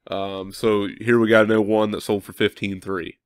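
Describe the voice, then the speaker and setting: A man's voice: lowish voice, little pitch movement, moderate pace, smooth, no room sound, clean studio sound. A young man with a cold and a stuffy nose, casually walking viewers through his thinking as a farmer vlogger, with lots of ums and self-corrections. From Midwestern United States. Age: young adult. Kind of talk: casual walkthrough talk to viewers.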